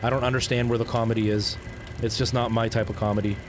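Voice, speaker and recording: A man's voice: mid-range voice, little pitch movement, fast, background noise. A man in his thirties from El Salvador with a light Canadian accent, chatting casually with viewers on a live stream, rambling with many restarts. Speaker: livestreamer. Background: faint music.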